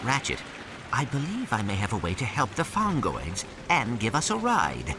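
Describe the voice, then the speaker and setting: An actor playing a small, calm and polite male robot sidekick who speaks precisely. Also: medium pitch, normal speed, noisy background. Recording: game audio over loud music.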